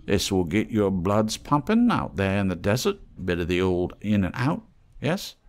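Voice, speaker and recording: A man voicing an eccentric old tramp trader in an audiobook, wheedling in excitable, salty, rambling patter. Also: deep voice, normal speed, exaggerated voice. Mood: teasing, chummy.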